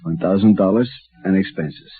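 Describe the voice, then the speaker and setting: An actor playing a dignified, dying Mexican don in a 1950s radio drama, speaking gravely and formally. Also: male voice, deep voice, normal speed. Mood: stern, weary. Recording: muffled vintage radio recording.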